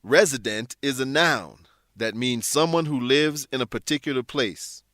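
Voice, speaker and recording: A man's voice: mid-range voice, measured pace, fairly bright tone, no echo, clean studio sound. A man narrating a scripted educational radio program as host and English teacher, addressing listeners in an explanatory way.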